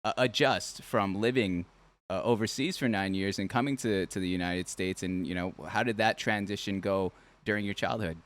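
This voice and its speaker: Low-voiced man hosting an interview. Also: fairly even intonation, normal speed. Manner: earnest, rambling with repeats and fillers. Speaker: podcast host. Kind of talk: casual interview questions.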